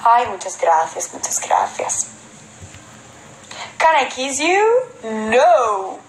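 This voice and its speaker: Spanish-accented woman, 20s, reads out fan comments on a phone stream, slightly high voice, echoey room. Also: big pitch swings, a little hiss or hum. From Spain. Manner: switches between Spanish and halting English, answers viewers' comments. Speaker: model on a livestream. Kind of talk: casual livestream chat reading comments. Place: indoor room at home. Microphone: phone held at arm's length.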